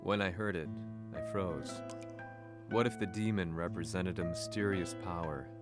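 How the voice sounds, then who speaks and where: A man acting as a brooding, serious investigator, narrating a scripted game story in a grave, measured way. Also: steady tone, close to an everyday voice. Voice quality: low. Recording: loud music under voice. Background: prominent guitar music.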